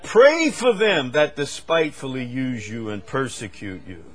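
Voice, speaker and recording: An older man from the United States preaching a sermon, swinging from loud, fervent stretches to quiet asides. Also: medium pitch, huge pitch swings, measured pace.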